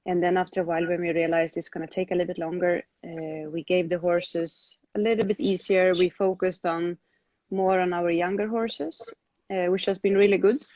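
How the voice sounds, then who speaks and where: A woman's voice: lowish voice, darkish tone, no echo, lo-fi sound. A woman show jumper answering questions in a live online interview, speaking in a plain, conversational way.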